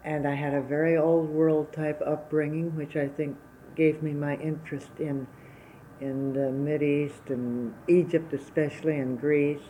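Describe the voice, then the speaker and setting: A woman's voice: deep voice, level tone, unhurried, mellow, slight background noise, low-quality recording. A woman in her seventies from Wisconsin whose first language was Czech, recalling her working life plainly and unhurriedly in an oral history interview. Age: 70s.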